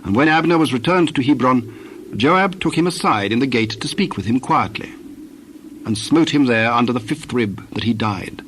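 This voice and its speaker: Male narrator for scripture: mid-pitched, solemn, measured phrasing, clear background noise. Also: expressive, a bit bright.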